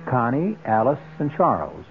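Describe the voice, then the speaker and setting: A man hosting a radio mystery play, narrating in a wry, deliberate, theatrical way. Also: medium pitch, wildly swooping pitch, slight background noise. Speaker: host of radio mystery anthology. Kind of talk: dramatic scripted radio narration. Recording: tinny old radio broadcast. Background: music.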